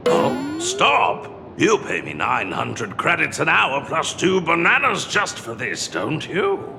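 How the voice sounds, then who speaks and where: Slow, theatrical detective's assistant. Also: male voice, a little high, wildly swooping pitch, creaky, big-room sound, noisy background, full character voice. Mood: indignant. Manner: pompous, melodramatic, deliberate. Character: theatrical male detective's assistant doubling as narrator. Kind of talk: video game voice acting, noir-style narration.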